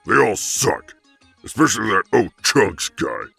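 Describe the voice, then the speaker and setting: A man voicing a big, gruff, sarcastic turtle monster villain with a gravelly, blunt, mocking delivery. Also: on the low side, sing-song, a little slow, pushed and bright, dry recording, faint music behind, heavily acted. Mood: scornful.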